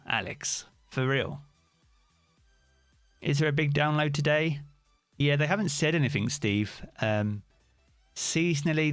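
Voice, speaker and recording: A man's voice: medium pitch, dry recording. A British man streaming live, chatting casually and answering viewers' comments by name.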